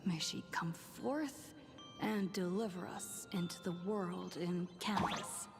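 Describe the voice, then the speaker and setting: Slow, composed young summoner. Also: female voice, fairly low pitch. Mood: ceremonial, solemn. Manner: deliberate, ceremonial. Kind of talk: video game voice acting. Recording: very loud music over the voice. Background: loud game music.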